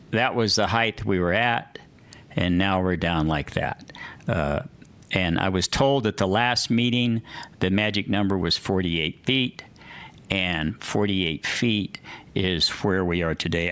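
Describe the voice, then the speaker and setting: A man's voice: deep voice, measured pace, fairly bright tone. A man presenting plans for a hotel to a city commission at a public meeting, reading from a prepared statement, listing points with a few fillers.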